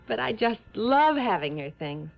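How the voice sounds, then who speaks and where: Gushing, animated young socialite. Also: female voice, on the high side, moderate pace, vocal fry. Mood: gushing, excited. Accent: American. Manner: gushing, coaxing. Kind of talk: 1950s radio acting. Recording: dull, faintly noisy vintage radio recording.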